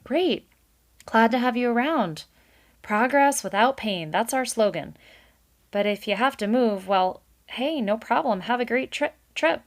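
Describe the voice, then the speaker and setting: A woman's voice: fairly high pitch, lively intonation, a little slow, pitched above the performer's own voice. A woman voicing a brash male beaver boss in a children's storybook, with breezy, slangy, emphatic salesman patter. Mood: breezily dismissive.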